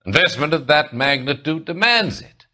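An actor playing a condescending middle-aged American property tycoon in a 1940s crime video game, smooth-talking and self-assured. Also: male voice, a little high, very animated, moderate pace, pushed and bright. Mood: scornful, defiant.

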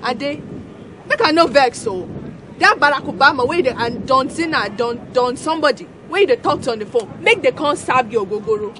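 Actress as a high-voiced scolding Nigerian drink seller. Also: female voice, animated, normal speed, pushed and bright, a little hiss or hum. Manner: blunt scolding, mixing Pidgin and Yoruba. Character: exasperated, scolding woman serving drinks. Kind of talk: comedy film acting.

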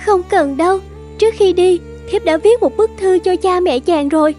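A woman voicing a gentle, pleading young ghost bride in an animated folktale, with polite old-fashioned speech. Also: extremely high pitch, normal speed. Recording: music under the voice.

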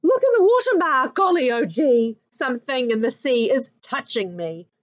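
Panicky storybook kid played by a woman, New Zealand accent, raised pitch, big alarmed exclamations. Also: expressive, normal speed, no room sound. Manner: shrill, loud, alarmed exclaiming. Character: panicky young child squealing at sea creatures.

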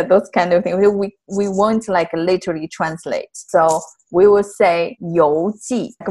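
A young woman with a Mandarin Chinese accent teaches vocabulary over a video call, heard through an earphone mic. She explains patiently in English, leaning on fillers and soft laughs. The room is bright and indoors. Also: medium pitch. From Northeast China. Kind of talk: casual language lesson on a video call.

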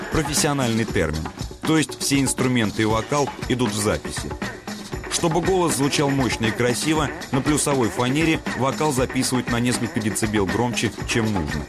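A man reading scripted documentary narration in a measured, explanatory way. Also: medium pitch.

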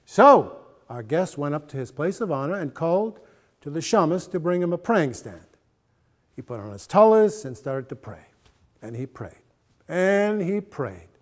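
A man in his sixties reads a story aloud in a theatrical style. His voice sits high for a man and moves very widely in pitch. He leans hard on key words and uses dramatic pauses. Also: very animated. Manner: emphatic, dramatic pauses and repetitions. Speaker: actor reading a short story aloud. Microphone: lapel mic.

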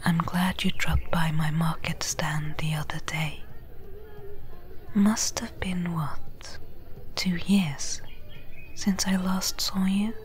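A woman playing a friendly, seasoned desert trader in a soft, breathy, gentle whispered ASMR roleplay. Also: on the low side. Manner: slow, gentle, unhurried.

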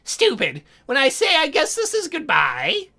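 A woman's voice: high voice, huge pitch swings, a little slow, creaky, pushed and bright, pitched above the performer's own voice, heavily acted. A woman voicing a young demon girl from a visual novel, a cutesy, polite soul-buyer who is sulky and lonely. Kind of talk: visual novel character read aloud.

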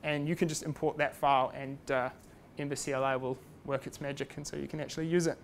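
A man's voice: mid-range voice, level tone, quick pace, creaky, slight background noise. A man giving a casual technical talk at a meetup, chatty and self-deprecating with lots of fillers. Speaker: meetup speaker. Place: meetup room.

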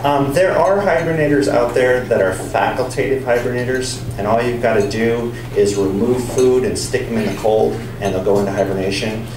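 A man giving a public science talk and answering audience questions in a relaxed, explanatory way. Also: mid-range voice, normal speed, few pauses. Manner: relaxed, explanatory, with some restarts. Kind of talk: lecture and audience Q&A. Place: bar or pub venue with an audience. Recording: echoey, distant, noisy room.

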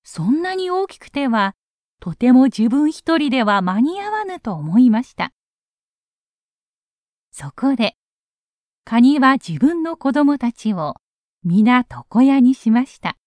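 A woman narrating a children's story in an expressive storytelling style. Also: sits high, slow pace, long pauses, dry recording.

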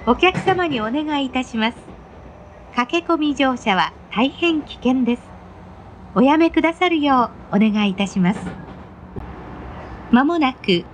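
A woman makes formal announcements on a train. Her voice is high and moves melodically up and down. She is courteous and scripted, with faint train noise around her. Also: lively intonation, unhurried, pauses often. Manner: formal, polite. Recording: noisy onboard train recording. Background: train running noise.

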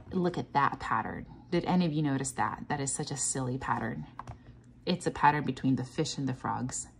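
A woman's voice: rumbling low, lively intonation, normal speed, creaky, slight background noise. A woman teacher leading a children's read-along lesson, encouraging, asking questions and chanting patterns aloud. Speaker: teacher reading a picture book to children. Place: indoors at a table.

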